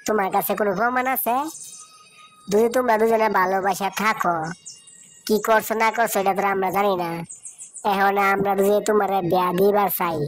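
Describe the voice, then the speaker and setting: A woman's voice: mid-range voice, fairly even intonation, a little fast, long pauses, natural voice. A woman playing a practical, worried middle-aged village aunt in a cartoon, speaking firmly with an eastern Bangladeshi accent. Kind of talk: cartoon voice acting. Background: music.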